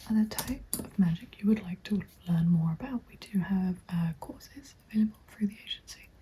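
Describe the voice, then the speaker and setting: Softly whispering young woman, mellow. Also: medium pitch, fairly quick. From England. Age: 20s. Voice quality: breathy whisper. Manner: gentle, chatty, reassuring. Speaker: ASMR creator playing a recruitment agent. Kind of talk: whispered ASMR roleplay. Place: small dim room at home. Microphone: close microphone. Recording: constant background noise with keyboard typing. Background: keyboard typing and clicks.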